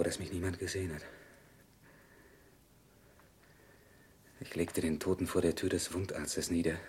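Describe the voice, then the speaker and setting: An actor playing a sober, dutiful Parisian husband in an old German radio drama, grave and measured. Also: male voice, darkish tone, slight background noise, close to an everyday voice. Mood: hushed, wary. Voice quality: husky, low.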